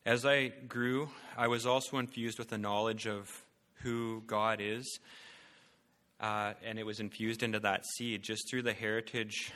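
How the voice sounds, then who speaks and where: A man presenting to a church about his family and calling, speaking haltingly with many fillers and restarts. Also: on the low side, level tone, pushed and bright, big-room sound. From Canada.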